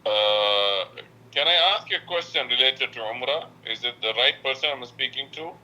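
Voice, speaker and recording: A man's voice: medium pitch, moderate pace, pushed and bright, rough recording. A man from India calling in with a question, polite and hesitant, stopping often with fillers. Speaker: caller. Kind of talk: phone call question.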